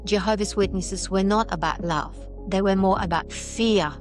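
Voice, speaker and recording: A middle-aged woman with a Polish accent, speaking Polish as her first language, is a guest telling her life story in a thoughtful, halting way over a video call. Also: mid-range voice, soft background music. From Poland. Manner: thoughtful, halting, with restarts and fillers. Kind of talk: personal story interview.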